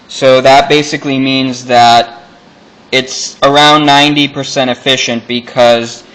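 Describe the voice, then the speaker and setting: Bright, clear, slow-paced man explaining electronics. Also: medium pitch, rough recording. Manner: informal, halting, technical explaining. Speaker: hobbyist demonstrating radio electronics. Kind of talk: casual explanatory product walkthrough.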